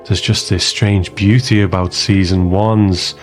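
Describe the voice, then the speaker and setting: A man hosting a podcast, closing the episode in a relaxed way, thinking aloud. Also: low-pitched, measured pace, faint music behind. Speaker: podcast host. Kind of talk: casual chat.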